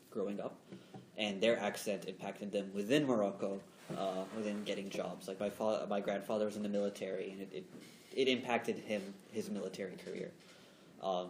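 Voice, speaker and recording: A man with a lowish voice talks casually on a panel, conversational and ready to joke. The room around him is echoey, with faint background noise. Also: fairly even intonation, moderate pace, darkish tone. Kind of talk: panel discussion.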